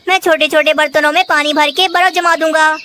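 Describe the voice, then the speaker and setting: A woman's voice: high voice, fairly even intonation, a little fast, pushed and bright, slight background noise. A woman voicing a scheming, falsely kind talking bird in a children's cartoon, with exaggerated delivery. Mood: sweetly persuasive. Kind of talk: Hindi children's cartoon dialogue.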